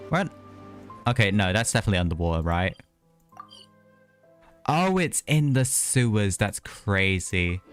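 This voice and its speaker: Low-voiced man casually streaming a game. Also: big pitch swings, unhurried, long pauses, soft background music. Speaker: game livestreamer. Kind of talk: casual live game commentary.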